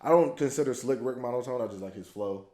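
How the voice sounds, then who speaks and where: A young man with a deep, monotone voice, chatting casually as a podcast guest, laid back and full of slang. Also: quick pace. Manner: laid-back slang, repeats "you dig". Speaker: podcast guest, rapper and label owner. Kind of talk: casual chat. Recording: echoey room.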